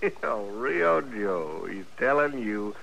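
An actor playing a scornful, mocking frontier heckler with rustic speech in a radio western. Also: male voice, fairly low pitch, big pitch swings, vocal fry, fairly bright tone, exaggerated voice. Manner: sneering, slow, rustic speech. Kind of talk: 1950s radio western acting. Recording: noisy old radio recording.

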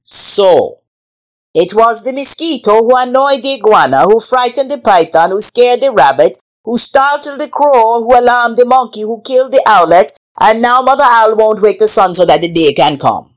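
Woman playing a commanding, animated lion king. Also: fairly high pitch, moderate pace, pitched above the performer's own voice, lightly acted, poor audio. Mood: stern, accusing. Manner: declamatory, insistent, accusing. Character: commanding male lion king presiding over animal council.

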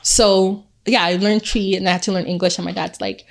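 A woman's voice: mid-range voice, brisk, gravelly. A woman from Ghana whose first language is Twi, telling personal stories as an interview guest and laughing often. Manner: storytelling, laughs often, animated. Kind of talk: casual interview.

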